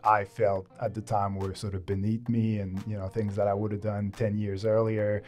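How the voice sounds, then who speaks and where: A man's voice: on the low side, level tone, moderate pace, a little mellow, faint music behind. A man in his thirties from Canada, chatting casually as a podcast guest with a loose, rambling manner and many fillers, on a close studio mic in a small home room. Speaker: podcast guest, sports videographer. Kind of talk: casual interview chat. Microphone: studio microphone on a boom, close.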